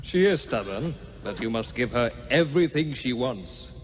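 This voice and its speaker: A man's voice: mid-range voice, expressive, moderate pace, a little hiss or hum, natural voice. Man as an imperious demon king in hall reverb. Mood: stern command. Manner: commanding, grandiose. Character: imperious villainous demon king, proud and menacing. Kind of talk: theatrical animated villain acting. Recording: long hall reverb, music behind.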